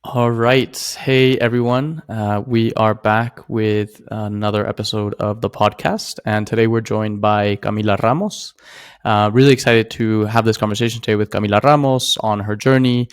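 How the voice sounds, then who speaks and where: A man's voice: fairly low pitch, level tone, moderate pace, clear, resonant tone, dry recording. A man hosting a podcast, introducing his guest in a loose, hesitant way with many "uh"s and "sort of"s. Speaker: podcast host.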